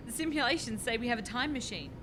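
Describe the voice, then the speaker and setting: A woman's voice: lively intonation, fast, lightly acted. A woman playing a keen, exasperated young physics researcher in a radio farce. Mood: eager, teasing. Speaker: actor in a radio play. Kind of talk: radio play farce acting. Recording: noisy home recording with low rumble.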